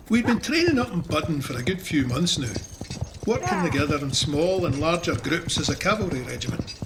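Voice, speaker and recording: A man's voice: a little high, a bit bright, a little hiss or hum. An actor playing a plain-spoken Ayrshire farmer and war veteran, telling his memoir in a reflective, conversational Scottish voice. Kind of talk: dramatised first-person memoir narration.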